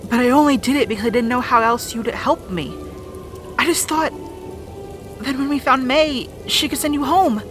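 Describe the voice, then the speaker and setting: A woman's voice: high-pitched, moderate pace, over music, natural voice. A woman playing an earnest, wounded young princess in a fantasy audio drama, speaking with pleading emotion. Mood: anxious, confessing.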